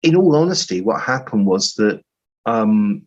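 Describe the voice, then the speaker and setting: A man telling stories as an interview guest in a halting, rambling, self-deprecating way, on muffled audio. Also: lowish voice, animated. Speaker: guest writer. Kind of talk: conversational interview storytelling.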